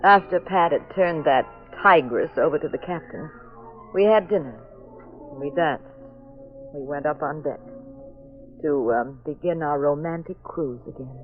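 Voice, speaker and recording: An actress playing a devoted, anxious young wife of a sleuth in a 1940s radio mystery. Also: female voice, deep voice, measured pace, soft background music. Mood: bright, narrating. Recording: dull, lo-fi old radio recording.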